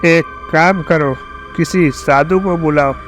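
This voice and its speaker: Man acting a stern police inspector, skeptical, commanding, unhurried delivery, animated horror story, music behind. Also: fairly high pitch. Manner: commanding, dismissive.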